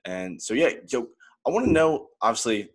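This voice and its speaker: A young man hosting a casual interview podcast, energetic in his intro and relaxed when asking questions. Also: medium pitch, normal speed, low-quality recording. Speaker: podcast host.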